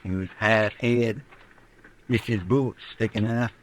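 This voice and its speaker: Folksy sidekick, noisy old recording. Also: male voice, lowish voice, steady tone, takes it slowly. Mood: uneasy. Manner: folksy, questioning, rambling. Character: folksy, talkative, anxious Old West deputy sidekick. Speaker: radio drama actor. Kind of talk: old-time radio western acting.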